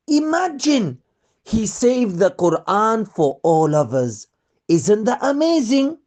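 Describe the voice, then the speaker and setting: Slow, expressive children's storyteller. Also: medium pitch. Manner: expressive, asks listeners rhetorical questions. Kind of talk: scripted children's religious storytelling.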